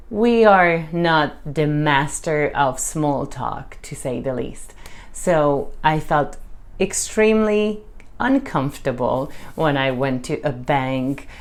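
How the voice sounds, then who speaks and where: Low-voiced Hungarian woman vlogging. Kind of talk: casual talk to camera.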